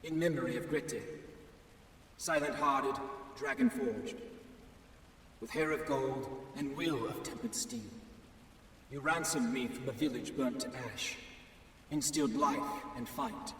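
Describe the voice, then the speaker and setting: A man voicing a grieving male warrior sworn to vengeance, speaking gravely and mournfully in a game. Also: medium pitch, measured pace, long pauses, fairly bright tone, lightly acted. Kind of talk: game voice acting. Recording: echoey hall from far off, faint noise.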